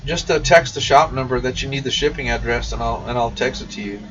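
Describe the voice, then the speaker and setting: A man's voice: medium pitch, fast, fairly bright tone. A man hosting a casual shop livestream, reading and answering viewer comments in a folksy, informal way. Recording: muffled, distant mic with faint noise.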